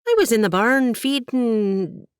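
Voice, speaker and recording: A woman's voice: a little high, measured pace, smooth, no echo, studio quality, pitched above the performer's own voice, close to an everyday voice. A woman voicing an earnest, stubborn young woman who speaks with rising passion. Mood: evasive. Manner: emotional, raised voice.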